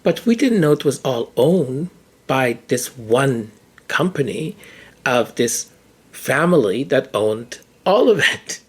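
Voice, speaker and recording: A podcast host with a hoarse voice, talking through ideas in a casual monologue, often restarting and repeating words mid-thought. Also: medium pitch, big pitch swings, takes it slowly.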